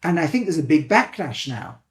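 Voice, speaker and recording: A man with a light Manchester accent talks through ideas in an animated, conversational way. His voice sits a little high. The room around him is noticeably echoey. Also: normal speed. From England. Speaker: rabbi and educator. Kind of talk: thoughtful conversational explanation.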